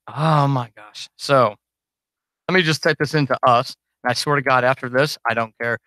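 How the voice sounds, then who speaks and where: A man's voice: mid-range voice, unhurried, pauses often, pushed and bright, no echo, studio quality. A man chatting casually and unscripted to his supporters on a livestream, rambling, informal and self-deprecating as a podcast host.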